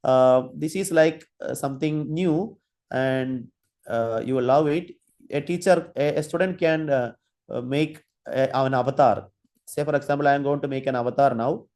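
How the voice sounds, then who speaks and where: A man giving an online training lecture, halting, with many fillers and restarts while he demonstrates. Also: mid-range voice, a little mellow. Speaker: guest lecturer.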